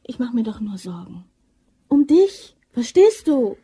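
A devoted, uneasy young woman in a crime radio play. She speaks softly and emotively in a very husky, slightly high, mellow voice. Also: very animated, measured pace, heavily acted. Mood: anxious, pleading. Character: anxious, devoted young woman, hesitant robbery accomplice. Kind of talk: German crime radio drama acting.

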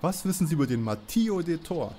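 An actor playing a direct, plain-spoken young detective who questions people in a parody radio play. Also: male voice, fairly high pitch, very animated, a little fast, mellow. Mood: inquisitive.

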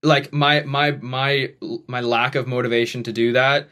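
A man in his twenties hosting a podcast from his bedroom, talking quickly with many restarts. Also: medium pitch, flat delivery, pushed and bright. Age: 20s. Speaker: podcast host. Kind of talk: casual chat. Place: bedroom at home. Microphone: studio mic on boom arm, headphones.

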